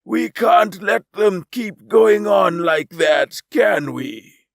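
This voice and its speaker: A man voicing a pompous, arrogant male alien with a grandiose, drawn-out, mocking manner. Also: high-pitched, animated, vocal fry, no room sound, clean studio sound, voice pushed up, full character voice.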